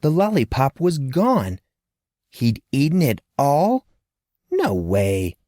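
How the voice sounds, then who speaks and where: A male audiobook narrator telling a fantasy story with plenty of swing in his voice, rising and falling through the sentences. His pitch sits in an ordinary middle register, and the recording is dry and close, with no room sound. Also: takes it slowly, dry recording. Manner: expressive, lively storytelling.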